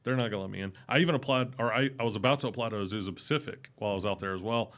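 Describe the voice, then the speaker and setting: Young man, loud and carrying, lively casual storyteller. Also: racing. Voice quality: loud voice that carries. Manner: animated, rising in pitch when excited. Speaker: podcast guest.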